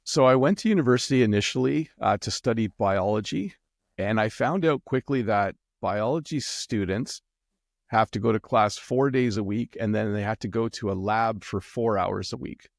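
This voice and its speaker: A middle-aged man with a Canadian accent talks in a relaxed, meandering way, stopping to restart his sentences. His voice sits fairly low, and the recording is close and dry with no room sound. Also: normal speed, vocal fry, no echo. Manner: relaxed, rambling with many ums and restarts. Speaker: guest. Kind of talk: casual interview chat.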